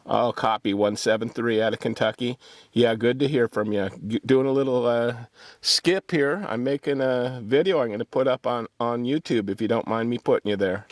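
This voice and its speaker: A man's voice: mid-range voice, normal speed, dry recording, clean studio sound. Laid-back man calling out on CB radio. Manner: relaxed, hesitant, lots of uh's. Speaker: CB radio operator. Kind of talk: CB radio calls and chat. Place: in a Jeep out in the desert.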